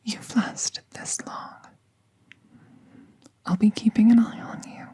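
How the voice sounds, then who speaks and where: A woman playing a kind, soothing magical veterinarian in a whispered ASMR roleplay, gentle and measured as she reassures a patient. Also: fairly high pitch, steady tone, full character voice. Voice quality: soft, breathy whisper.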